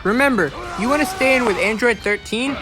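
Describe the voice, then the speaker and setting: Casting: gameplay guide narrator, medium pitch, instructional and explanatory delivery, voice-over laid over clearly audible music. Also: brisk.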